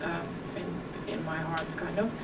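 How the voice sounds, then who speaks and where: A woman's voice: lowish voice, level tone, unhurried. Young woman giving a talk, stutters, lots of ums, echoey distant hall, room noise. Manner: stutters, casual with many ums. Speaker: keynote speaker. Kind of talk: live keynote talk. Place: large conference hall.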